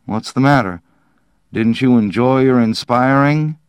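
A man's voice: medium pitch, measured pace, clear, resonant tone, no room sound, clean studio sound, voice pushed up, close to an everyday voice. A man voicing a cheerful, breezy male time-ship operator in an audiobook story.

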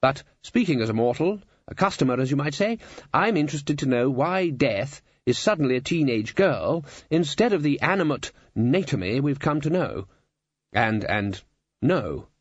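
A man's voice: medium pitch, very animated, normal speed, no room sound, dull audio, voice pushed up. A man voicing a jovial older wizard who runs a university, bluff and courteous, in a storybook reading. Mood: affable. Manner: bluff, conversational, courteous with hesitations.

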